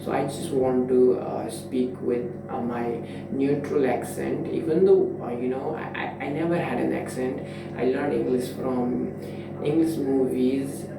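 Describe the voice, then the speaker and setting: A man's voice: mid-range voice, gravelly. A man in his twenties from Nepal, talking in a casual, reflective monologue that rambles with constant fillers and restarts. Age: 20s. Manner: rambling, full of "you know" fillers and restarts. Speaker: vlogger. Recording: echoey room, mic far off, background noise.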